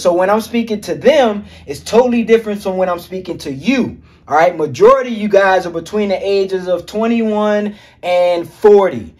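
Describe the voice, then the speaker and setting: A man coaching other men on social skills in a casual, energetic monologue, full of slang and swearing, calling viewers G and fellas. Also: high-pitched. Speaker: self-improvement coach.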